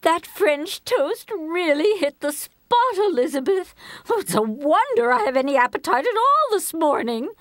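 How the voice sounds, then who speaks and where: High-voiced, feisty older lady. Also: normal speed, no room sound. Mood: cheerful. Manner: indignant, eager, expressive. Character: feisty, eccentric older spinster, retired secretary turned dreamer. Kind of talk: light comedy audio play acting.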